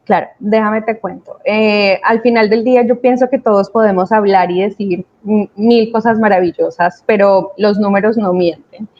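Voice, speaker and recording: A woman's voice: mid-range voice, steady tone, moderate pace, few pauses. A woman with a Latin American accent speaking as a webinar panelist, explaining her points with emphasis. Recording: dull, lo-fi online call sound.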